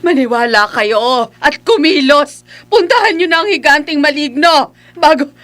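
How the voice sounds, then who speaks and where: A woman's voice: sits high, animated, unhurried, a bit bright. A woman playing a worried, insistent village mother in a horror radio drama, pleading with emphasis. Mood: urgent pleading.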